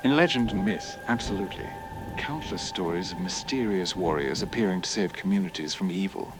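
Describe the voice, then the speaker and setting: A man playing a solemn religious studies professor in an audio drama, explaining in a deliberate, scholarly way. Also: low-pitched, background noise, natural voice. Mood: solemn, reflective. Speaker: professor, expert interviewee. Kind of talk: scripted expert news interview.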